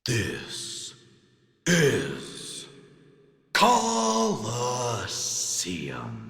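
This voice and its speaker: An actor playing a bombastic, grandiose arena fight announcer, bellowing drawn-out theatrical introductions in an audiobook drama. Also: male voice, mid-range voice, huge pitch swings, vocal fry, a bit bright, heavily acted. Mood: grand, booming. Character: bombastic male arena announcer hyping gladiators, grandiose and booming. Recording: echoing arena-like reverb.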